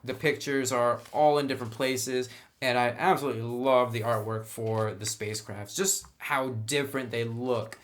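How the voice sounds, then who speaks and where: A man's voice: mid-range voice, steady tone, normal speed. Casual man reviewing a tabletop game book. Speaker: reviewer of tabletop roleplaying books. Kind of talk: casual unscripted review commentary.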